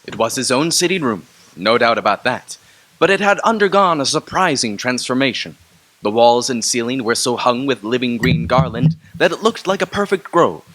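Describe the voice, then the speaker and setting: Man, radio play narrator, mid-pitched, descriptive storytelling. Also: a bit bright, poor audio.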